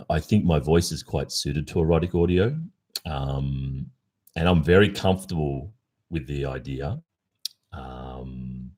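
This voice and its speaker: An Australian man in his early forties with an exceptionally low voice. He chats informally on a livestream, answering listeners' questions. His delivery is thoughtful, with pauses and the occasional hesitation. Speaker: ASMR roleplay streamer.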